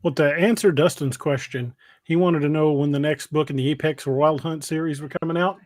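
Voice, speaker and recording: A man's voice: a little high, fairly even intonation, brisk, no echo, studio quality. A man hosting a podcast and chatting casually in a rambling, plain-spoken way. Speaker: podcast host and author. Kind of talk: casual livestream chat.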